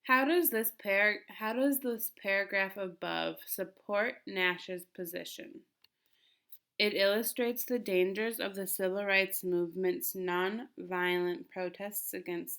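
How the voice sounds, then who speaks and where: A woman's voice: on the low side, vocal fry, fairly bright tone. A woman reading questions and answer choices aloud as a teacher.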